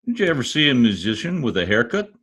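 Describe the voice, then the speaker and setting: An actor playing a brash, boastful, folksy husband in an old-time radio comedy, talking in cocksure slang. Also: male voice, medium pitch, very animated, quick pace, a bit bright, husky. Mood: boastful, breezy. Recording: video call recording.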